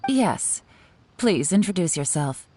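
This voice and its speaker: Low, mellow, self-assured official. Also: female voice, very animated, slight background noise. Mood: businesslike. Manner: confident, brisk, in charge. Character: cool, composed, teasing female assessment officer. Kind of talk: video game voice acting.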